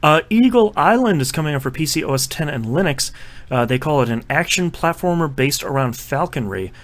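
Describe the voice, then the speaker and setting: A man hosting a casual podcast about video games, chatting and running the segments with quick asides. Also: on the high side, animated, a bit bright. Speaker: podcast host. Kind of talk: casual chat.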